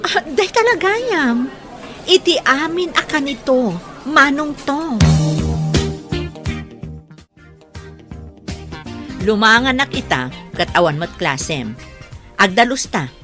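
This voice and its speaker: A woman's voice: a little high, sing-song, normal speed. A woman with an Ilocano accent playing a brash, scheming, money-minded mother in a radio drama. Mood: coy, flirtatious. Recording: background music under the voice.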